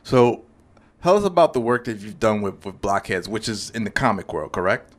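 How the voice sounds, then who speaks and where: A man's voice: on the low side, big pitch swings, moderate pace. An American man co-hosting an interview show, talking casually with a guest. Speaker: radio show co-host, interviewer.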